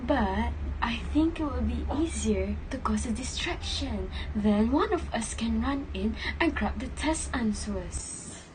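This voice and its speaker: A young woman playing a bossy, cautious teenage girl thief in reader's theater, hushed and scolding, often whispering. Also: on the high side, animated, a little slow, few pauses, background noise. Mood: hushed, plotting. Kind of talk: scripted reader's theater acting. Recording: music bed under the voice.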